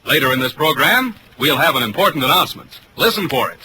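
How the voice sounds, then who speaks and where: A man's voice: on the high side, expressive, quick pace, pushed and bright, slight background noise, low-quality recording. A man announcing in 1940s radio commercial style, with a polished, emphatic salesman's delivery. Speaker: radio announcer and sponsor pitchman. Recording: vintage radio broadcast recording.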